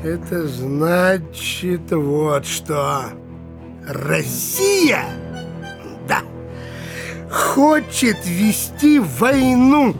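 An actor playing a self-important, ponderous provincial judge with grand theories, in a theatrical audio drama. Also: male voice, high-pitched, lively intonation, extremely slow, gravelly, pauses often, over music, full character voice. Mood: portentous. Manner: ponderous, portentous.

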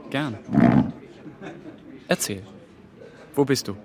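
Earnest young trainee official. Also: male voice, medium pitch, very slow, a crowd in the background. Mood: friendly. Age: young adult. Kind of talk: radio drama acting.

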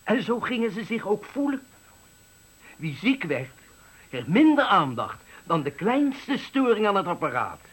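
An actor playing an embittered, eloquent factory worker of sixty-five, giving a dramatic, deliberate farewell speech in a radio play. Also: male voice, high voice, sing-song, a bit bright, slightly rough, heavily acted. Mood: indignant, impassioned. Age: 60s. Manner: oratorical, dramatic, deliberate phrasing. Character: embittered eloquent 65-year-old male factory worker at his retirement.

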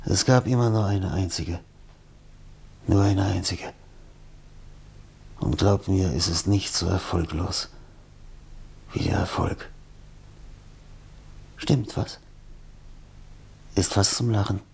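An actor in a radio play as a dying, feverish, broken writer, husky and gravelly, speaking in halting fragments. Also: male voice, low voice, a little mellow, slight background noise, heavily acted. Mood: weary, bitter. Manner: halting, murmuring, fragmented speech.

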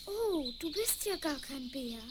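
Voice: sits high, lively intonation, unhurried. A performer playing a curious, kind young girl in a children's fairy-tale radio play. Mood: amazed. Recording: noisy 1970s vinyl record.

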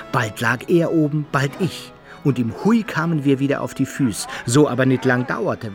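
An actor playing an adventurer who recounts his brawls and travels in measured, old-fashioned literary radio drama narration. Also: mid-range voice, natural voice.

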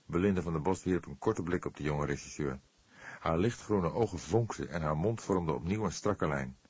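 A man's voice: low voice, moderate pace, a little mellow, breathy edge, dry recording. A man narrating a scripted story as an audiobook reader.

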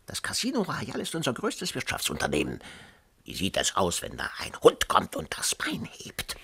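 An actor playing a self-important, fussy justice minister in a radio drama, secretive and pompous, with a breathy, raspy voice. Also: male voice, medium pitch, wildly swooping pitch, normal speed, sharp tone, no room sound, heavily acted. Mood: pompous, amused. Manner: secretive whispering breaking into pompous exclamation.

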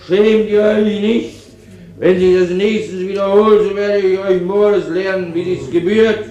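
Drunken, bullying army chaplain in echo. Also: male voice, high voice, fairly even intonation, unhurried, dark tone, muffled recording. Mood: scolding. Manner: rambling, abusive, shifting from barked orders to maudlin piety. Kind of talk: German radio play sermon. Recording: echoey chapel-like room.

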